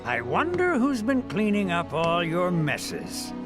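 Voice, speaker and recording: Smug game mayor, theatrical, high, widely swooping pitch, pompous, sly, music behind. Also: male voice, huge pitch swings, exaggerated voice. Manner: pompous, sly, drawn-out delivery. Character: theatrical, smug male mayor with secret loyalties. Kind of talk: dramatic video game acting.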